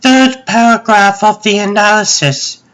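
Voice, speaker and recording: A woman's voice: mid-range voice, fairly even intonation, unhurried, fairly bright tone, close to an everyday voice. A woman playing a composed, clever young scientist in a comic dub, speaking precisely and formally. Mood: earnest, insistent.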